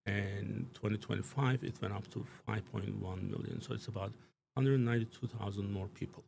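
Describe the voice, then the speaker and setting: A man with a low, gravelly voice speaks in a small studio over a faint hiss. He talks in an emphatic, rambling way, restarting his sentences and leaning on fillers. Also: steady tone, normal speed, darkish tone. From Turkey. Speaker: show guest commentator. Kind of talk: live studio political talk.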